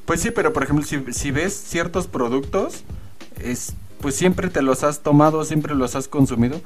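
A man's voice: a little high, normal speed, soft background music. A man with a Mexican Spanish accent, co-hosting a casual chat, hesitant and filler-heavy, laughing easily. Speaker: co-host. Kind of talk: casual banter.

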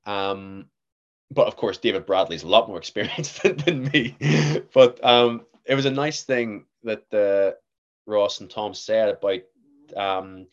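A man in his twenties with a Northern Irish accent, an actor chatting as a podcast guest, lively and acting out what others said to him. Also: lowish voice, unhurried, lo-fi sound. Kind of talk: casual interview chat.

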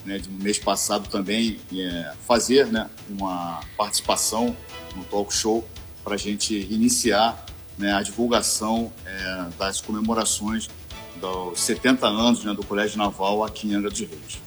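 A man, a naval college commander answering interview questions formally, padding his pauses with a constant "né". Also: lowish voice, fairly even intonation, faint music behind. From Niterói, Brazil.

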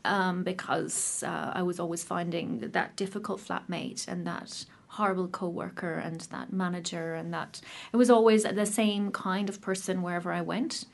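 A woman with an Irish accent, probably in her thirties, sharing her personal recovery story in a casual, hesitant way with many pauses and restarts. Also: on the low side, fairly even intonation, vocal fry. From Ireland.

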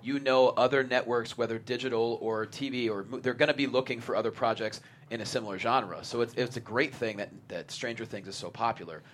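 A man's voice: mid-range voice, steady tone, brisk, few pauses. A man talking casually as a panelist in an interview discussion. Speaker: panelist asking questions. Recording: clear background noise, room ambience.

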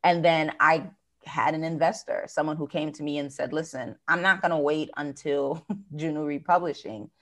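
An American woman with a notably low voice speaks as a guest in an interview about running a business. She talks in a conversational, thoughtful way, pausing on fillers and restarting phrases. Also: steady tone, a bit bright. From United States.

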